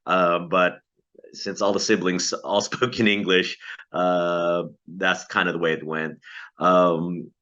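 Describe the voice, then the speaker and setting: Guy in his 40s on a video call, really low voice, bright edge, lots of ums and uhs. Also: flat delivery, takes it slowly. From Philippines. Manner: hesitant, many ums and uhs, laughs lightly. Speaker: interviewee, pastor. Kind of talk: reflective interview answers. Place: at home in the Philippines. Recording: dull lo-fi video call audio.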